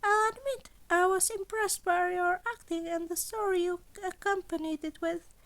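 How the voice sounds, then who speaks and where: A woman's voice: very high voice, steady tone, moderate pace, a bit bright, pitched above the performer's own voice. A woman voicing a cunning, smug male rat who is a school principal, in a teasing sing-song for a story. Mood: amused, teasing.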